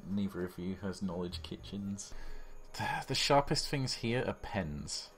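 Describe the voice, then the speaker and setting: A man's voice: deep voice, lively intonation, measured pace, faint music behind. A man improvising narration as game master of a tabletop role-playing game, speaking informally with some swearing.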